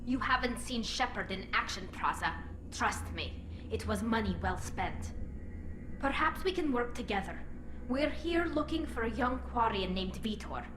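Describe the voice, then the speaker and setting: A woman's voice: a little high, lively intonation, moderate pace, close to an everyday voice. An actress playing an earnest but firm young female alien team leader in a video game. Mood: earnest, cooperative. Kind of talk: cinematic video game dialogue. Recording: echoey, music behind.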